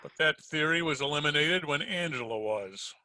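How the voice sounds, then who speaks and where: An actor playing a tough, hard-boiled private detective in 1940s radio drama style, sardonic and slangy. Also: male voice, fairly high pitch, lively intonation, moderate pace, bright, forceful tone, no room sound. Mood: cool, accusing.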